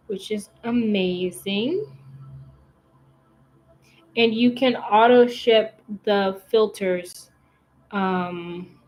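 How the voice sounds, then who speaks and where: A woman chats casually on a livestream and reads out viewer comments as she goes. She rambles, restarts her sentences and fills the gaps with "um" and "like." Her delivery is slow and unhurried, at a mid pitch. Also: low-quality recording. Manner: chatty, rambling, many fillers and restarts. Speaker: livestream host.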